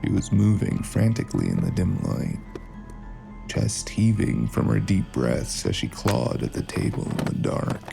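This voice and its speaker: Male noir killer narrator, played by an actor: deep and heavily creaky, cold, deliberate, ominous, music underneath. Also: sing-song, exaggerated voice. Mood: ominous, suspenseful. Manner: ominous, deliberate, clipped phrases.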